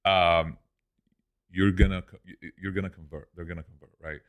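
Deep-voiced young man, laid-back. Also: a little fast. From Lebanon. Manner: relaxed, says "dude" and "man" often. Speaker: guest, e-commerce entrepreneur. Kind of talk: casual podcast interview.

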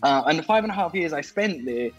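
A man's voice: on the high side, lively intonation, fast talker, husky, highs cut off, soft background music. A man with a Welsh accent, a podcast guest chatting casually and often repeating words as he starts sentences. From Wales. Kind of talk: casual interview chat.

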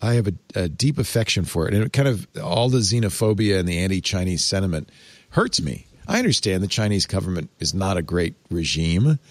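A man in his sixties hosting a casual tech talk show, chatty and quick to laugh. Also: deep voice, animated, dry recording. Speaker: podcast host. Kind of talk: casual chat.

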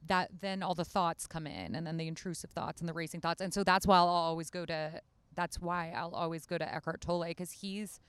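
A woman's voice: lowish voice, level tone, a little fast, few pauses, fairly bright tone, smooth, no room sound. A woman talking casually as a podcast guest outdoors in a shaded backyard. She rambles with lots of "like" and restarts her sentences.

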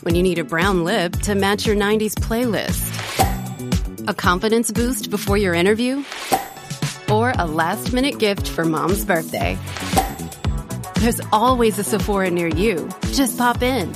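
Mid-pitched woman in a commercial. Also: a little slow. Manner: breezy, inviting. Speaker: advertisement voice-over. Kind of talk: scripted commercial read. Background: music bed.

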